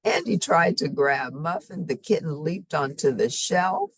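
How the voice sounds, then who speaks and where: Woman reading a kids story, deep for a lady, super expressive, dry close sound. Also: unhurried, slightly rough, dry recording. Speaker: storybook reader. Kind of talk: expressive children's story narration.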